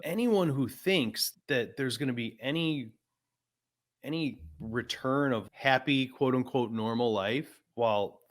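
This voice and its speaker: A man of about forty hosting a podcast, talking frankly and casually, often adding "man" and swearing. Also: medium pitch. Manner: laid-back, frank, swears, says "man" a lot. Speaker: podcast host.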